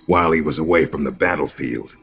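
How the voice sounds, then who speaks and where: An actor playing a smug, menacing soldier who interrogates with casual cruelty in a video game, his voice gravelly. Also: quick pace. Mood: cold, calculating. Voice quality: very deep, gravelly. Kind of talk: dramatic video game voice acting. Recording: dull compressed game audio with music.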